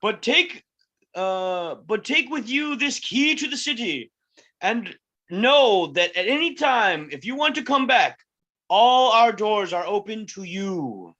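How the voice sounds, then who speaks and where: Woman playing a slow, bright-voiced ceremonial mayor. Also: medium pitch, very animated, full character voice, rough recording. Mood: solemn, ceremonial. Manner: slow, formal speechmaking. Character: solemn, ceremonial small-town mayor giving a farewell.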